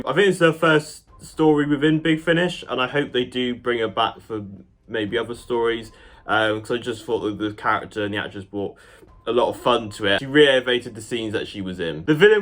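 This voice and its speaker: A man's voice: mid-range voice, a bit bright. Chatty, enthusiastic man giving a review. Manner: chatty, enthusiastic, hedging with "kind of" and "like". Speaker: channel host and reviewer. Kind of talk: casual audio drama review to viewers.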